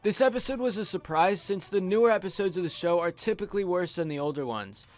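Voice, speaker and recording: High-pitched young man narrating a countdown. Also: animated, quick pace, darkish tone. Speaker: video host and reviewer. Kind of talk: scripted top-five countdown commentary. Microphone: close USB studio microphone.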